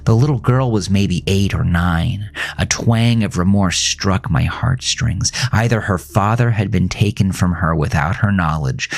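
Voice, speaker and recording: A man reading a scripted first-person horror story in a brooding, deliberate manner, at times dropping to a hush. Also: sits low, gravelly.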